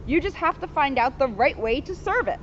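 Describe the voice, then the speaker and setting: A woman's voice: high-pitched, expressive, a little fast. A woman voicing a kindly, wise old storybook grandfather with a patient, reassuring manner. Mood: gentle advising. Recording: muffled, noisy outdoor recording.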